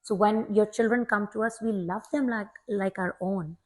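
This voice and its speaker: A woman's voice: mid-range voice, normal speed. A woman in her forties from Pakistan, a longtime teacher, talking earnestly and reflectively as a guest in an interview, often repeating a word as she starts. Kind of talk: casual interview talk.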